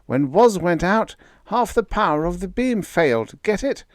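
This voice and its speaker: A man's voice: mid-range voice, wildly swooping pitch, a little slow, no echo, clean studio sound, voice pushed up. A man voicing a scared but dogged young man who talks like a salesman. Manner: brash, folksy.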